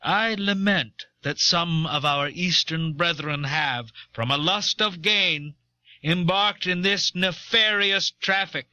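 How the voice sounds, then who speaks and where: Man acting a slow, high-pitched indignant founding-era statesman. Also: pushed and bright, no echo, full character voice. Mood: grave indignation. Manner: grave, deliberate, oratorical. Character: indignant eighteenth-century American statesman condemning slave trade. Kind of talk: solemn dramatized historical oration. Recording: dull old cassette tape.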